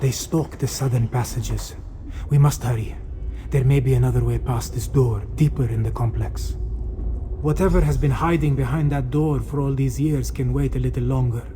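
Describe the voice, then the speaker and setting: A man playing a wary, solemn cat-folk warrior in a video game, speaking in a measured way. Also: mid-range voice, steady tone, noisy background, natural voice. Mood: grave, urgent. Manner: measured, solemn, third-person self-reference. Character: wary, grave cat-folk warrior companion, speaks of himself in third person. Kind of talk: video game character dialogue. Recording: loud music over the voice.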